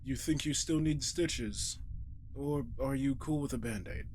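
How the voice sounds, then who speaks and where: A man playing an arrogant, teasing, centuries-old vampire king in an intimate audio roleplay, casual and sardonic, with a low, gravelly voice. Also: a little slow, a little mellow, slight background noise, close to an everyday voice. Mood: casual, satisfied. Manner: casual, sardonic, swearing, coaxing his prey.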